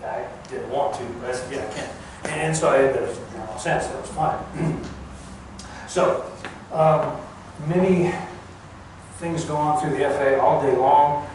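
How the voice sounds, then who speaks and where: An older man gives an informal talk in a big, very echoey room, picked up from far off with clear background noise. His delivery is plain-spoken and halting, at a middling pitch. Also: darkish tone. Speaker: guest speaker, former air traffic controller. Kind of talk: informal public talk recounting career. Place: large echoey museum room.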